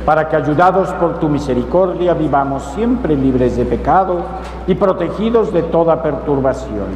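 Male priest, middle-aged; formal liturgical prayer, long church reverb over loud background noise. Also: a little high, expressive. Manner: measured, solemn delivery. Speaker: priest presiding at Mass. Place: large church, at the altar.

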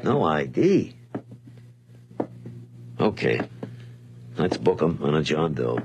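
An actor in a radio drama playing a brusque, businesslike police lieutenant. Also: male voice, extremely low pitch, big pitch swings, slow pace, muffled recording, full character voice. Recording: very noisy old radio recording.

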